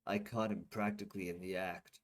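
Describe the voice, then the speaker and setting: A man voicing an earnest male colonel in a plain, steady voice close to his own. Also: low voice, brisk, pitched below the performer's own voice.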